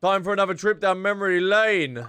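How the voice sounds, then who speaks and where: A man giving casual, slangy gameplay commentary as a streamer, excitable and talking to the game characters. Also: high voice, fairly quick, noisy background. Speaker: streamer, gamer.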